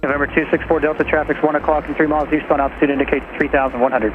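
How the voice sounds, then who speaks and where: A man's voice: a little high, little pitch movement, rapid-fire, phone-quality sound, soft background music. A man working as an air traffic controller, giving clipped, procedural and courteous instructions over aviation radio.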